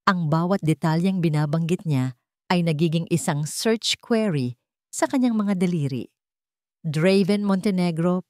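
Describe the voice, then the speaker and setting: Low-voiced Filipino woman narrating a story. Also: a little mellow, no room sound, clean studio sound. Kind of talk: scripted dramatic story narration.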